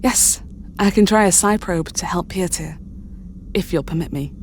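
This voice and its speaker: Low-voiced, sharp-tongued proud woman played by an actress. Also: normal speed, a little hiss or hum, natural voice. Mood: focused. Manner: curt, assertive. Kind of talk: audio drama acting.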